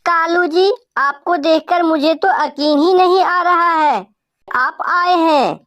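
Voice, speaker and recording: A woman voicing a caring, motherly female crow in a cartoon, with a tender, expressive delivery. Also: very high voice, slow, pitched above the performer's own voice, heavily acted. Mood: joyful, earnest.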